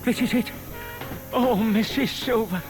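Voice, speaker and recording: An older man acting a timid, lovestruck gentleman in a children's audio drama, earnest and nervously muttering. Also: very high voice, unhurried, over music. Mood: nervous, excited.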